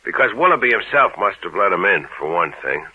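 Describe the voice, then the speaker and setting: An American man playing a gruff, plain-spoken police sergeant in an old-time radio drama, talking in a casual, folksy, matter-of-fact way. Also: sits low, big pitch swings, a little fast, dry recording, full character voice. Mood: explaining. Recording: narrow, tinny old radio recording.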